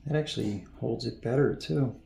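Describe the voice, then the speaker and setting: Gravelly man mumbling at a workbench. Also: mid-range voice, normal speed. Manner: mumbling, thinking aloud while working. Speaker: electronics repair hobbyist. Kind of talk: casual workbench narration. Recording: echoey room, loud background noise.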